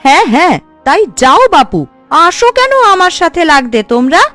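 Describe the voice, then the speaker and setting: A woman's voice: sits high, big pitch swings, normal speed, a bit bright. A woman voicing a quarrelsome, outspoken village housewife in a folk-tale cartoon, scolding and argumentative. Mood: irritated. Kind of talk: animated cartoon voice acting. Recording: music under the voice.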